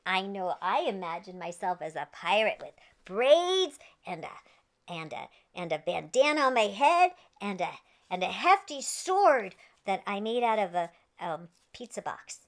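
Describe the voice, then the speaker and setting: A woman's voice: medium pitch, big pitch swings, unhurried. A woman reading a children's story aloud in a playful, theatrical way with exaggerated emphasis. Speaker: children's storybook reader and host.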